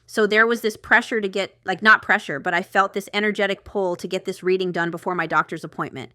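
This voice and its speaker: Chatty lady doing tarot, bright crisp tone, really dry close sound, rambles off on asides. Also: medium pitch, steady tone, quick pace, no echo. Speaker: tarot reader and channel host. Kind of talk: casual intuitive tarot reading, talking to the viewer.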